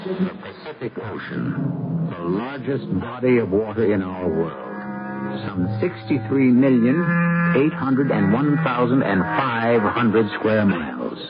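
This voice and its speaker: A man's voice: medium pitch, very animated, unhurried. A man hosting a radio drama with portentous, dramatic scripted narration. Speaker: host and narrator. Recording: muffled old radio broadcast. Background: loud music.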